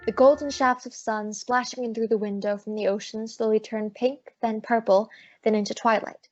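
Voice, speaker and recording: A woman playing a sassy, quick-witted female private detective in an old-time radio drama, wry and brisk. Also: on the high side, steady tone, a little mellow, faint music behind, natural voice. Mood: wry narration. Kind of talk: old-time radio drama acting with first-person narration. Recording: dull lo-fi audio.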